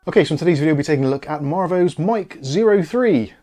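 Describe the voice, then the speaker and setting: A man's voice: a little high, animated, fast talker, warm tone, soft background music. A British man giving a casual product review and unboxing, explaining things in a chatty, easygoing way.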